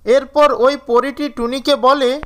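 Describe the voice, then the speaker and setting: A woman's voice: high-pitched, fairly even intonation, takes it slowly, fairly bright tone. Woman narrating a children's cartoon story.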